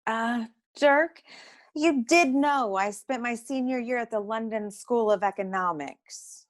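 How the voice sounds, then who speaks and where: An actress playing a brisk, know-it-all detective agency secretary in a noir comedy audio play, curt and dryly superior. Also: female voice, on the high side, natural voice. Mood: matter-of-fact, superior.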